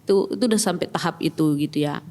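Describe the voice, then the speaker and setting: A woman's voice: low voice, fast, dark tone. A middle-aged woman with a Batak accent, telling her own story as a podcast guest, reflective and hesitant, with many fillers and restarts. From Batak, North Sumatra. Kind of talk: personal testimony in casual chat. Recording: long reverb with faint music.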